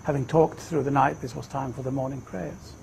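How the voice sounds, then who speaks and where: A man in his fifties from Sweden, telling his personal story in a reflective, measured way. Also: medium pitch, steady tone, vocal fry, darkish tone, a little hiss or hum. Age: 50s. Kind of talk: personal testimony, unhurried storytelling.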